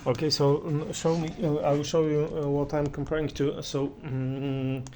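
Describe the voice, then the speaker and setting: A man's voice: on the high side, level tone, normal speed, darkish tone, slight background noise. A man gives an unscripted spoken review of audio equipment, speaking hesitantly and often searching for his words. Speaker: audio reviewer giving listening impressions.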